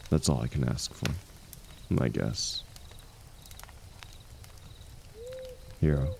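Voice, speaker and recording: Man acting a very deep, gravelly aging warrior. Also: a little hiss or hum, exaggerated voice. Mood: scared, weary. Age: older. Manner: soft, halting, confiding, reassuring. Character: aging wounded warrior, hero's loyal shield, gentle and resigned. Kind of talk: intimate second-person audio roleplay.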